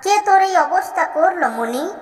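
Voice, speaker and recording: A man's voice: very high-pitched, expressive, moderate pace, voice pushed up. A man voicing a distraught, vengeful cartoon mother bird, pleading and repeating with emphasis. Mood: tearful, vengeful.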